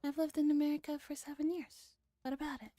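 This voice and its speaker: A woman voicing a sharp, no-nonsense eighteen-year-old girl genius in a visual novel read-aloud. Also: high voice, little pitch movement, fast, no room sound, pitched above the performer's own voice, lightly acted. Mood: cool, assertive. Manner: curt, no-nonsense.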